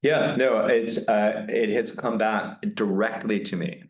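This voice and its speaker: A man with a low voice talks through his thoughts in a candid, meandering way, full of restarts and asides. The sound has a noticeable room echo. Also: fairly even intonation, takes it slowly, slight background noise. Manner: reflective, rambling with many restarts and fillers. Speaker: guest lecturer answering questions. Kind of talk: candid academic Q&A.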